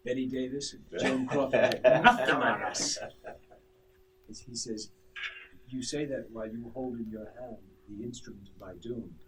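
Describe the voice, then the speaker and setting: A man's voice: mid-range voice, fairly even intonation, normal speed, distant mic, a crowd in the background, low-quality recording. A man in a voice recording session, joking with a colleague and reading one line again and again in different ways. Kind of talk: repeated dramatic takes and banter.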